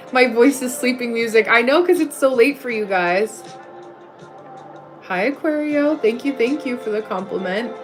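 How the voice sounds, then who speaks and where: A woman's voice: fairly high pitch, measured pace, long pauses, low-quality recording. A woman hosting a livestream in a casual, chatty way, replying to comments and breaking off to greet viewers. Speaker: livestream host. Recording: music playing behind the voice. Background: music.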